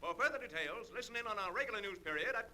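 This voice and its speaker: An actor playing an urgent, clipped, formal newscaster reading a bulletin in a 1940s radio drama. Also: male voice, sits high, expressive, very fast, sharp tone, exaggerated voice. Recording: noisy old radio recording with hum.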